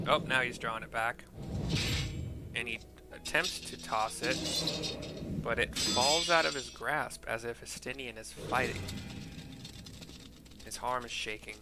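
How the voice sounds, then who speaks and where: A man streaming a game, describing the scenes on screen in an informal, halting, descriptive play-by-play. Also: medium pitch, loud music behind the voice. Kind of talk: casual play-by-play describing cutscene visuals. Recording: clear game noise behind voice.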